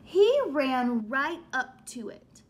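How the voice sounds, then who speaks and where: A woman's voice: sits high, very animated, takes it slowly. A young woman teacher reading aloud to young children and asking them questions, stressing and stretching key words. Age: young adult. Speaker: elementary school teacher. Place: small room at home, indoors.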